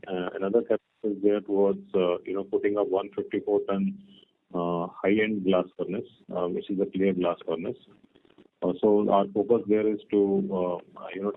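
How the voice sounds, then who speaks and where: A man with an Indian accent speaks in a fairly low voice over a narrow telephone line. He explains business matters as an executive on a conference call, often pausing and hesitating as he goes. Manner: halting, explanatory. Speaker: company executive answering questions. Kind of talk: earnings call question and answer.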